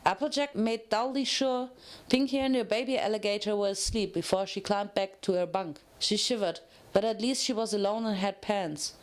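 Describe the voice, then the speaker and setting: A woman's voice: medium pitch, fairly even intonation, moderate pace. A woman reading a story aloud as narrator, in a dramatic scripted style.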